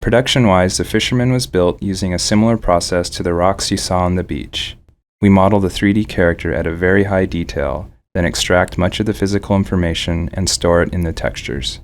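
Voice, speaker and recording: A man's voice: deep voice, a little fast. A man reading scripted developer commentary as a game artist explaining a process.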